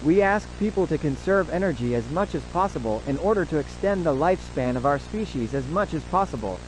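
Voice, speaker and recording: A solemn government emergency announcer played by a man, speaking in a slightly high male voice. The delivery is formal and measured, like an official survival bulletin in an audio drama. A faint hum and hiss sit behind the words. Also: lively intonation, lightly acted. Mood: solemn, instructive. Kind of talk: scripted emergency broadcast narration.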